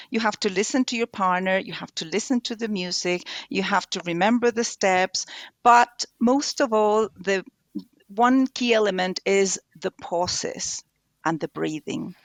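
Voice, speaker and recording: A woman with a Spanish accent, a native Spanish speaker, talking as a guest on a live online show in calm, measured explanations with pauses. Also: medium pitch, no room sound.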